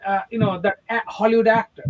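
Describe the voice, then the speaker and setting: A man's voice: sits high, level tone, fast talker, highs cut off. A man from India with an Indian accent, a real estate agent hosting a casual motivational chat, speaking emphatically and often checking in with "okay?".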